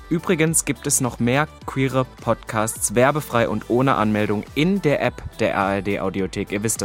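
Playful German man hosting a podcast. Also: medium pitch. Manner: playful, teasing. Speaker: podcast host. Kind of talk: casual chat.

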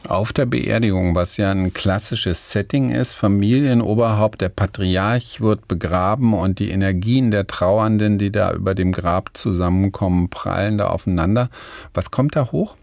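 A man's voice: lowish voice, moderate pace, gravelly, darkish tone, no echo. A man working as a radio interviewer, talking about books and putting questions in a conversational way.

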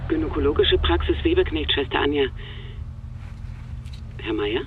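A woman's voice: low-pitched, expressive, moderate pace. Here is a polite nurse phoning in a radio play. She sounds patient, squeezed through a narrow phone line with a little noise. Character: polite, patient female nurse calling from a doctor's practice. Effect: telephone filter. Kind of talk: radio drama phone dialogue.

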